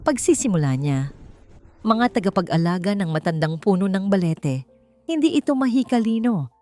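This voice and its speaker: A woman's voice: mid-range voice, very animated, normal speed, warm tone, faint music behind. A woman with a Filipino accent reading a scripted dramatic story as an audiobook narrator.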